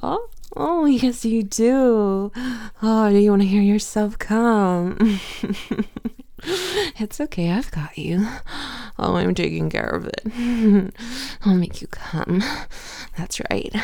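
A woman whispering softly and teasingly in a possessive, intimate audio roleplay, laughing often, close to the microphone. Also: mid-range voice, slow, vocal fry, pauses often, no echo.

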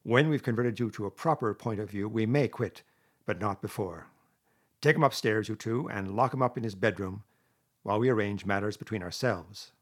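A man acts out a stern badger from a storybook. He speaks like a fatherly orator, solemn and firm. The voice is rough and husky, raised a little as if addressing a room. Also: fairly low pitch, normal speed, natural voice. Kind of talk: storybook character reading.

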